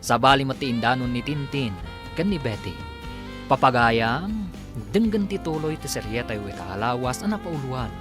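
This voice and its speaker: A man's voice: mid-range voice, animated, moderate pace. A man with an Ilocano Filipino accent narrating a radio drama and reading its credits in a scripted style, with music behind.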